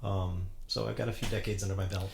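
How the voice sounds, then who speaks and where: A man in his forties, a steel pan player, chatting casually as an interview guest, relaxed and full of you-knows. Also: low voice, fast talker, vocal fry. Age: 40s.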